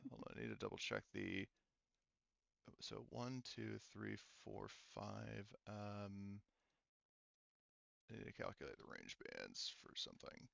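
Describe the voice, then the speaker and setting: A man's voice: fairly low pitch, sing-song, measured pace, creaky, pauses often, fairly bright tone, dry recording, highs cut off. A man acting as game master, casually narrating a tabletop game and muttering to himself as he checks rules and counts.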